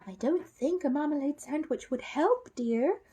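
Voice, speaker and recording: Woman acting a fretful, high-pitched storybook mother. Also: expressive, measured pace, dark tone. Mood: nervous whisper. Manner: nervous fretting. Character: anxious, fussing, well-mannered married mother.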